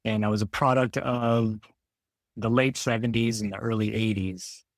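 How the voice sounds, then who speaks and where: An American man in his forties speaks with a fairly low voice in a dry space. He talks as a podcast guest, reflective and halting, often rewording a thought midway through. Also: flat delivery, no room sound. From Detroit area, Michigan, United States. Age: 40s. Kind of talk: casual interview conversation.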